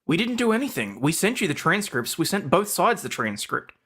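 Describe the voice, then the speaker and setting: A man's voice: high voice, big pitch swings, brisk, a bit bright, husky. A man chatting casually as a co-panelist and reading script lines.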